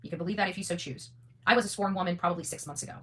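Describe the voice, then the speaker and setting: A woman's voice: lowish voice, very fast, a bit bright. A woman hosting an unscripted, opinionated monologue, blunt and defiant, often repeating phrases for emphasis and swearing freely. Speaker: online content creator and host.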